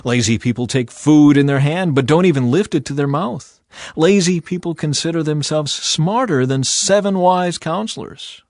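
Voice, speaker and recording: A man narrating scripture as a dramatized reading, with a theatrical, emphatic and deliberate delivery over background music. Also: fairly high pitch, darkish tone, no room sound, clean studio sound. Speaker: Bible narrator.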